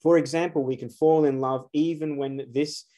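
A man's voice: a little high, fairly even intonation, normal speed, warm tone. A man, giving an earnest solo spiritual talk to camera, asking rhetorical questions with emphasis. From Australia. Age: 40s. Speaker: astrologer.

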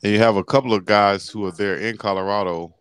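A man's voice: fairly low pitch, steady tone, quick pace, clean tone, dry recording. A man hosting a casual sports interview show over a video call with a headset, laid-back and chatty with frequent fillers. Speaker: sports talk show host. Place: indoor room, video call.

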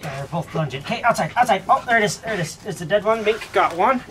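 A man's voice: high-pitched, animated, quick pace. Man calling to dogs outdoors. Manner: calls out commands to dogs, praises them warmly. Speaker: pest control ratter with dogs. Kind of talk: casual running commentary. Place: outdoor dirt pens on a farm. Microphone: chest-mounted body camera. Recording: noisy outdoor camera audio. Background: dogs and outdoor ambience.

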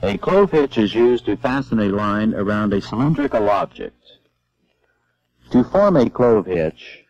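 A man giving measured, step-by-step scripted narration for an instructional film. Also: medium pitch, creaky, a little mellow. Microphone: voice-over. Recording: dull lo-fi old film soundtrack.

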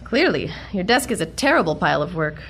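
A woman's voice: fairly low pitch, sing-song, a little fast, a bit bright, slight background noise. A woman playing a plucky, bookish heroine in a comedic audio drama, polite but dryly exasperated.

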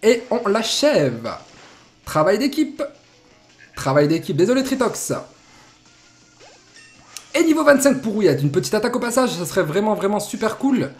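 Young male streamer, lively pitch, jokey, a bit congested. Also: normal speed, pauses often, soft background music. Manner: playful, jokey, animated. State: has a cold. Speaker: Let's Play host. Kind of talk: casual gameplay commentary. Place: indoor room at desk.

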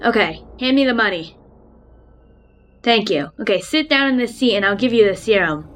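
A woman's voice: on the high side, expressive, normal speed, close to an everyday voice. A woman acting a shady, curious, matter-of-fact experimenting doctor in a game roleplay. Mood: businesslike.

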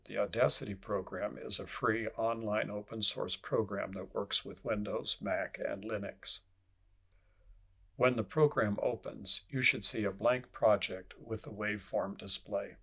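A man reading scripted instructional narration in a measured, formal way, as an instructor would. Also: lowish voice, creaky, a bit bright, husky.

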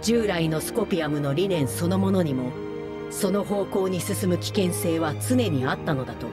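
A woman plays a cool, formal artificial lifeform in a game cutscene, her voice set a little low and spoken with measured, detached precision. Game music plays clearly beneath her lines. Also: lively intonation, natural voice. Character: calm formal artificial research being, female. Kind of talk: video game cutscene voice acting. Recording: game audio with music underneath. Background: game soundtrack music.

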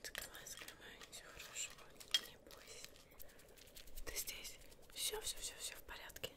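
A young woman playing a friendly, busy witch seamstress in an ASMR roleplay, whispering softly and soothingly close to the mic. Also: lightly acted. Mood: reassuring. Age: young adult. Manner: soft, unhurried, soothing, with murmured repetitions. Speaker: ASMR performer. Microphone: close microphone.

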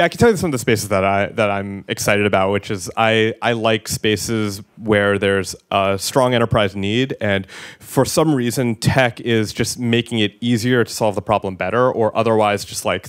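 A man's voice: on the low side, moderate pace. A man in his thirties speaking as a guest at a tech meetup fireside chat, conversational with filler words and restarts, on a handheld mic in an event room. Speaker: product executive and guest speaker.

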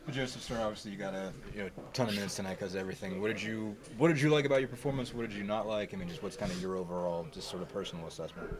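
Fast, low-voiced male reporter. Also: a crowd in the background. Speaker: sports reporter asking questions. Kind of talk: informal locker-room interview questions. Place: indoor locker room.

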